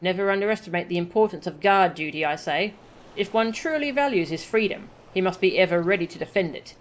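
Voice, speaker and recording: A woman's voice: on the low side, animated, brisk, slight background noise, natural voice. A woman reading the lines of a proud, preachy male harbor guard. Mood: earnest.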